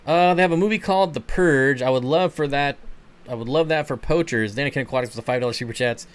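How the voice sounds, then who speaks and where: A man from Michigan hosts a casual live chat, drifting from comment to comment in a laid-back, rambling way. His voice is smooth and clear and sits at an ordinary male pitch. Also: quick pace. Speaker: channel host. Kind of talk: casual livestream chat, answering viewer comments.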